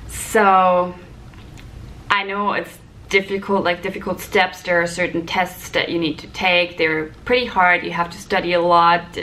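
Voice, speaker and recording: A woman's voice: fairly low pitch, fairly bright tone, poor audio. A woman in her twenties, a native German speaker, chatting casually and explaining things to camera in a question-and-answer vlog. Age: 20s. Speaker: vlogger, flight attendant. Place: small hotel room, indoors. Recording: clear background room noise.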